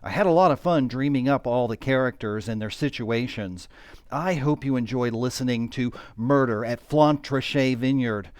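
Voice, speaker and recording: A man speaks as a writer giving a prepared introduction. His pitch is average for a man, and the recording is dry, without room echo. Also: moderate pace, smooth. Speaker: playwright.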